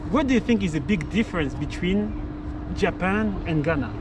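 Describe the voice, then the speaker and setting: A French man in his thirties hosting an outdoor interview, speaking halting English with restarts and short "mm-hmm" replies. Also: high voice, expressive. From France. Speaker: host, interviewer. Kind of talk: casual interview. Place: outdoors on a city plaza. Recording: street noise outdoors.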